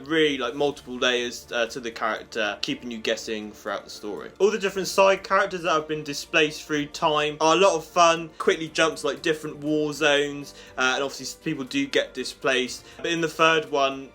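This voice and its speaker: Bright-voiced, enthusiastic man reviewing. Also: mid-range voice. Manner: enthusiastic, chatty, informal. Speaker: YouTube reviewer and host. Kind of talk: casual review of an audio drama, spoken to viewers. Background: music under the voice.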